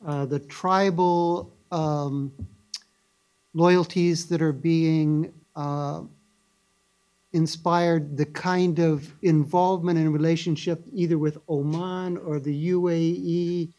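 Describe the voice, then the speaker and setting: Man, moderator, slow, halting, fairly high voice, many fillers. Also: little pitch movement, darkish tone, poor audio. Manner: slow and hesitant, frequent "uh". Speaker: panel moderator. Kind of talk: moderating a policy panel.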